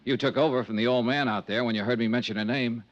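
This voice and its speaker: A man's voice: medium pitch, fairly even intonation, fast, natural voice. An American man acting a persistent, curt, hard-boiled insurance investigator in a 1950s radio drama, with a clipped, insistent delivery. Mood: cool, curt. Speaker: star of a detective radio serial. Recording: dull, band-limited old radio recording.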